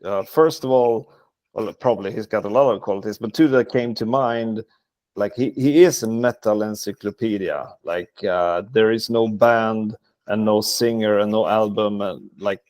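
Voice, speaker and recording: A man's voice: on the low side, normal speed, a little mellow, dry recording. A man from a band talking casually in an interview, with lots of filler words and swearing. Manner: filler-heavy, profane. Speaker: band member.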